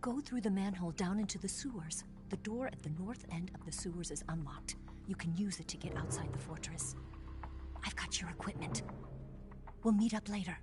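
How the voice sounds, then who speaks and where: A woman's voice: lowish voice, fairly quick, over music, close to an everyday voice. An actress playing a secretive female spy in a video game, speaking in an urgent, often whispered voice. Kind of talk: dramatic video game cutscene acting.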